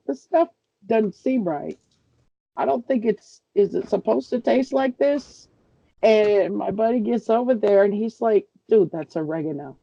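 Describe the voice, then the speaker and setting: A woman's voice: mid-range voice, no echo, lo-fi sound. A woman chatting informally and bluntly, telling an anecdote. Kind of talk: casual chat, anecdote.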